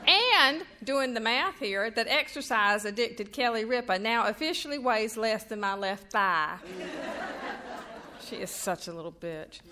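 A woman's voice: a little high, measured pace, background noise. A middle-aged woman from North Carolina with a Southern accent, giving a chatty, self-deprecating humorous talk to an audience. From North Carolina, USA. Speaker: author speaking at a reading. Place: event room before a live audience.

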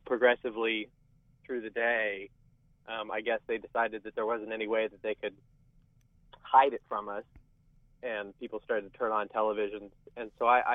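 A man's voice: lowish voice, dry recording. A young adult man calling in to a radio show, reflectively recalling his memories. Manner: reflective storytelling. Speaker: caller. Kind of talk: call-in radio talk. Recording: tinny phone line.

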